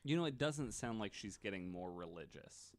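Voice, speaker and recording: A man co-hosting a podcast who throws in jokes and quick reactions while a story is told. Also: fairly low pitch, lively intonation, no room sound. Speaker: podcast co-host. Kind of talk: casual podcast chat.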